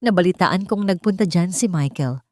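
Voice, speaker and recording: Wealthy older mother for audio drama played by a woman, Filipino accent, gentle but icy and controlling, dry sound. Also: on the low side, expressive, moderate pace, no echo, lightly acted. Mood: sweet, veiled threat. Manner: gentle surface with veiled coldness.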